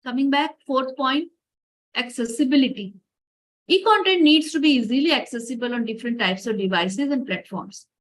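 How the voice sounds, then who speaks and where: A woman's voice: high voice, normal speed. A woman giving an online lecture over a video call, earnest and addressing participants directly. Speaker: workshop lecturer.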